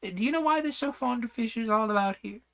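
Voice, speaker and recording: A man's voice: very high-pitched, fast, vocal fry, a bit bright, pitched above the performer's own voice, full character voice. A man voicing a polite, anxious young girl who speaks earnestly and hesitantly in a storybook reading. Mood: curious.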